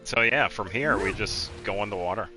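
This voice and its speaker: A man giving casual video game commentary as he guides another player, explaining things in a halting, meandering way. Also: on the low side, music in the background.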